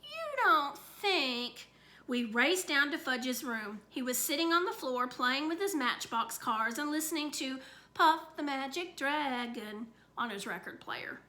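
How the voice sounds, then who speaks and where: Woman reading a kids' story aloud, high bright voice, very lively. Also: unhurried. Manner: expressive, animated. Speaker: read-aloud reader.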